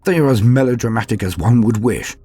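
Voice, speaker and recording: A man with a gravelly, husky voice hosts a fantasy lore show, narrating in a dramatic, portentous and formal manner. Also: mid-range voice, animated, a little fast, dark tone. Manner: theatrical, portentous, formal diction. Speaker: host of a fantasy lore channel.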